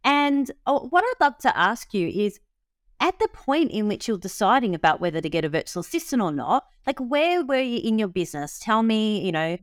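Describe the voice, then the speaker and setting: A woman hosting an interview in a casual, enthusiastic way, often filling pauses with ums and likes. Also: fairly high pitch, sing-song, no room sound, clean studio sound. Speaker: interview host.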